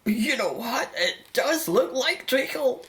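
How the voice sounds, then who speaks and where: An anxious, devoted mother in a radio play. Her voice sits fairly high and moves slowly, with wide emotional swings and a careful, tender manner. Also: big pitch swings, fairly bright tone, exaggerated voice. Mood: gentle. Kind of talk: radio drama acting.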